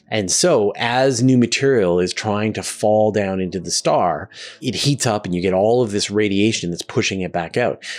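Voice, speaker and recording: A man hosting a science question and answer show, explaining things conversationally with emphatic, deliberate pauses. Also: lowish voice.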